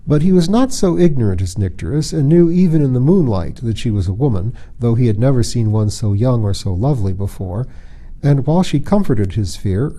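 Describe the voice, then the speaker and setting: Male narrator, very dark mellow tone, medium pitch, expressive storybook reading, measured literary delivery. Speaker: audiobook reader.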